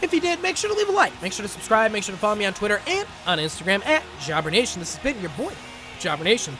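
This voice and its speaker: A young man doing excitable play-by-play commentary over a wrestling video game, coughing and saying he is losing his voice. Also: high-pitched, quick pace, music in the background. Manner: excitable, rising to shouts at big moments. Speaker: gaming channel host and commentator.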